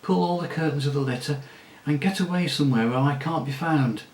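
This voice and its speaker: A man's voice: fairly high pitch, fairly quick, darkish tone, roomy sound, pitched above the performer's own voice, natural voice. A man voicing a brave young noble girl on the run, speaking in a raised, urgent, commanding way.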